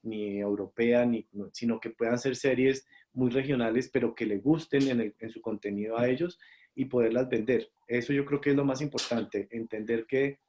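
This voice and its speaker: A man's voice: mid-range voice, normal speed, darkish tone. A Colombian man, a series producer, answering interview questions in a thoughtful way with many fillers and restarts. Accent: Colombian Spanish.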